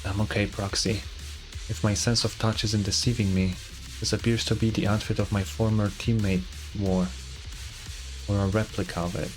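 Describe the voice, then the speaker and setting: A man voicing a shaken but stoic young female soldier in a quiet, restrained way while reading game dialogue. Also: fairly low pitch, flat delivery, moderate pace, over music, natural voice. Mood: shaken restraint.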